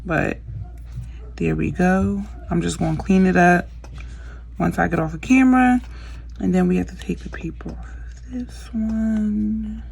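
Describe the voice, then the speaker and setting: Gravelly low-voiced woman vlogger. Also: fairly even intonation, measured pace, pauses often, a little mellow, a little hiss or hum. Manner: chatty, many fillers, addresses viewers as "you guys". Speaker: craft vlogger. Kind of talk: casual how-to vlog chat.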